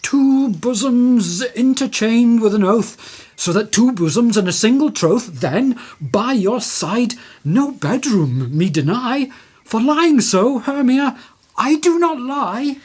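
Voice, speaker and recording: A woman acts an ardent young gentleman in a Shakespeare radio play. The voice is husky and unhurried, swinging expressively as it coaxes in earnest verse. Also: a little high, expressive, heavily acted. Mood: coaxing, amorous. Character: ardent young male lover, earnest and coaxing.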